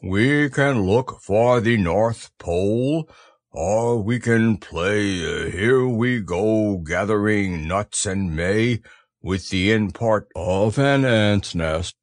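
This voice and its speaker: A man's voice: fairly low pitch, slow pace, no echo, studio quality, pitched below the performer's own voice. A man voicing a gloomy, grumbling old donkey in a ponderous, resigned storybook manner. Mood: resigned, grumpy.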